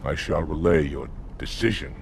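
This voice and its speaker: An actor playing a resentful alien military commander in a video game, with a growling, gravelly voice. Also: male voice, rumbling low, moderate pace, a little hiss or hum. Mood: grudging deference. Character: indignant brutish alien military commander, obedient but resentful. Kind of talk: cinematic video game acting.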